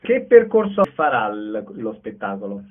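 A man's voice: fairly low pitch, very animated, normal speed. A man acting as interviewer, asking short questions, with a muffled lo-fi radio sound.

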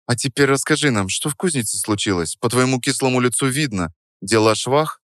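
A man voicing a lively young woman in an audiobook, teasing and easily huffy. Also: mid-range voice, a little fast, dry recording, clean studio sound, voice pushed up, natural voice. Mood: playful. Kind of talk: audiobook character voice.

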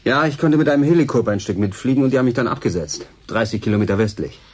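An actor playing an easygoing, slightly guarded newcomer looking for work in a German radio drama. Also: male voice, medium pitch, animated, rapid-fire, close to an everyday voice. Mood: polite, guarded.

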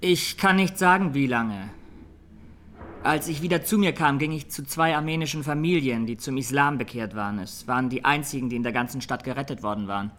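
An actor playing a young Armenian defendant, plain-spoken and haunted, giving measured testimony in a radio courtroom play. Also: male voice, mid-range voice, a bit bright, lightly acted. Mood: subdued, factual.

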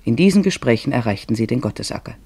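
Guy narrating a literary radio play, mellow husky voice, dry close sound. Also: mid-range voice, animated, fast talker, dry recording.

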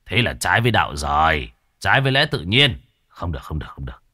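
A man voicing a boastful, scruffy aging male sorcerer who curses and mutters gruffly. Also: sits low, very animated, quick pace, sharp tone, no echo, studio quality, exaggerated voice. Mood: alarmed muttering.

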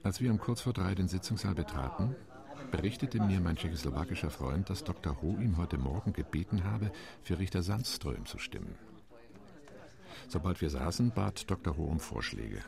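An actor playing a Latin American UN delegate recounting committee intrigue in a radio play monologue, with a measured, ironic telling. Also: male voice, low-pitched, animated, vocal fry, a crowd in the background.

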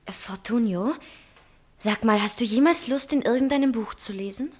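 Vain, dreamy young daughter for a 1960s radio play, female performer: high voice, literary phrasing, cold-hearted longing for luxury. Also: normal speed, darkish tone, lightly acted. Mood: inviting. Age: young adult.

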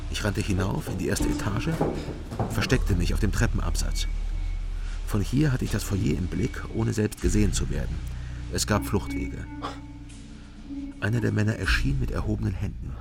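An actor playing an uneasy East German private investigator in a radio crime drama, terse and hesitant. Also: male voice, lowish voice, expressive, normal speed, gravelly, long pauses. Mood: tense suspense. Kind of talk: radio crime drama, first-person narration and dialogue. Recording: music behind the voice.

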